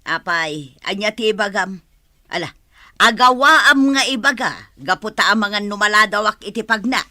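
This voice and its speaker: A woman's voice: medium pitch, normal speed, bright, forceful tone, no echo. A woman playing a wily, dismissive, hard-living grandmother in an audio drama, with theatrical delivery. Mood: grasping, placating. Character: wily grandmother who drinks, gambles and neglects her grandchild.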